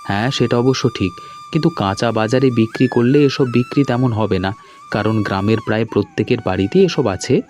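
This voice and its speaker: A man's voice: medium pitch, expressive, a little fast, a little mellow, close to an everyday voice. Hearty young husband, acted by a man, over music. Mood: conversational. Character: hospitable, insistent young village husband. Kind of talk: cartoon dialogue acting. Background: background music.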